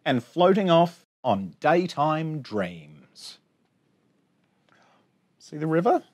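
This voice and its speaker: Male children's storytime reader, higher-pitched, slightly husky, unhurried sing-song rhymes with chatty asides. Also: big pitch swings, darkish tone. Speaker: storytime host. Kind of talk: reading a picture book aloud to children. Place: small indoor space among bookshelves.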